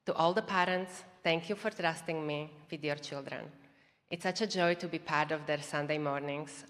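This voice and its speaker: Woman, Slovak accent, deep voice, earnest prepared testimony, echoing church hall. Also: a bit bright. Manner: earnest, measured, reading a prepared script. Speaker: church member sharing testimony. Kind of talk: prepared testimony speech to a congregation. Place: church auditorium. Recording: long reverb of a large hall.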